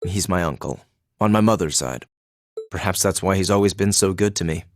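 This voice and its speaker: An actor playing a reserved, cynical young nobleman in a video game, speaking formally and with dry, measured phrasing. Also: deep voice. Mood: guarded, bitter. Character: reserved, cynical young illegitimate son of a duke. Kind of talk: English-language game voice acting.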